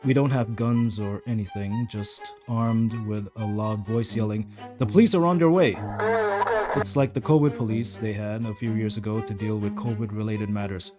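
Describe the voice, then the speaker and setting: A man's voice: fairly low pitch, fairly even intonation, moderate pace, music in the background, close to an everyday voice. A man playing a blunt, defensive, fed-up security guard rambling through a confession in an audio story monologue. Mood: exasperated.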